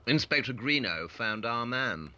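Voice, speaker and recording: An actor playing a haughty, probing detective in an audio play, with precise, pedantic delivery. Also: male voice, mid-range voice, expressive, a little slow, sharp tone. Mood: probing, piqued. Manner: precise, clipped, pedantic interrogation.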